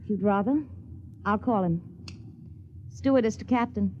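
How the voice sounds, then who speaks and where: An actress playing a brisk, caring rocket-ship stewardess with a soothing manner in a 1950s radio drama. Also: female voice, medium pitch, a little mellow, close to an everyday voice. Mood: concerned, firm. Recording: dull old radio recording, faint hiss.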